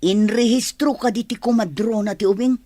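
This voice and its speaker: A woman's voice: on the high side, fairly even intonation, moderate pace, dark tone, no room sound, lightly acted. A woman with a Filipino accent acting a blunt, prying, money-minded woman in a radio drama.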